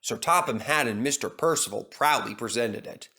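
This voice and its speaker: A man's voice: medium pitch, normal speed, pushed and bright, husky. A man reading a children's story as a scripted narrator.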